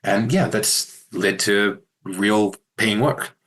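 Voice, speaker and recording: Middle-aged New Zealand man, low voice with a bright edge, easygoing and self-deprecating. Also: slow pace. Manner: relaxed, self-deprecating storytelling. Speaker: author guest. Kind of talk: conversational interview.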